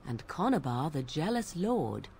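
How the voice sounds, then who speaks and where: An actress playing an aloof, sardonic young witch in a video game, speaking in formal, archaic, measured phrases. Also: deep voice, big pitch swings, vocal fry, darkish tone, a little hiss or hum, exaggerated voice. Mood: measured storytelling. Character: aloof, sardonic young witch of the wilds, raised an outcast apostate. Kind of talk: dramatic video game voice acting.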